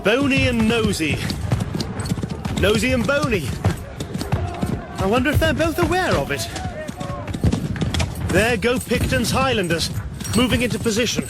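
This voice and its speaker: An actor playing an eager, dutiful British army major in a period radio drama, speaking in a clipped officer's manner. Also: male voice, very high voice, heavy background noise, full character voice.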